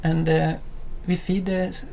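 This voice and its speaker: A man in his thirties from Sweden with a Swedish accent, co-hosting a cozy video calmly, with small hesitations. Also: high voice, a little slow, vocal fry, slight background noise. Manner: calm, friendly, with small hesitations. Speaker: co-host of a cottage lifestyle channel. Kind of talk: casual chat to camera.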